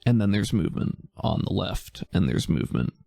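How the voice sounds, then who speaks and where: A man running a tabletop game with a low, gravelly voice. He talks in a relaxed, halting way, full of fillers and restarts. Faint music plays behind him. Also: no room sound. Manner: relaxed, hesitant, thinks aloud with fillers. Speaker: game master.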